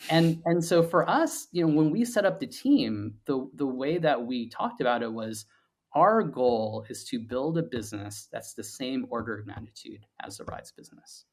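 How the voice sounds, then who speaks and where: A man in a reflective interview conversation, answering as a founder and guest. He explains step by step, often doubling back on words and padding phrases as he thinks aloud. His pitch sits in a middle male range. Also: expressive. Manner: explanatory, halting with repeated words and hedging "like".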